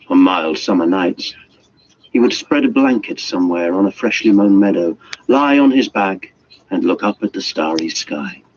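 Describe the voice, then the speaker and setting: A man reading literary prose as a measured, descriptive storyteller. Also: fairly low pitch, darkish tone. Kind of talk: scripted literary narration. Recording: dull lo-fi radio, faint noise.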